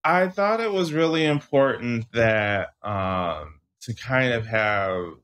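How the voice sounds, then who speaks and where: A young adult man from southeastern Michigan, a guest author talking casually, relaxed and restarting often. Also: medium pitch, slow. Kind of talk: casual interview talk.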